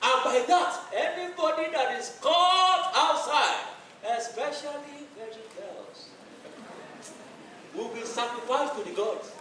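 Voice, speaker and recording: Echoey, high-pitched town crier. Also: male voice, slow, sharp tone, exaggerated voice. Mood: solemn proclaiming. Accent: Nigerian. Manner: declamatory, proclaiming. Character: royal town crier announcing a deadly curfew. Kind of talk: projected stage play acting. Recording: echoey stage hall, distant mic, faint noise.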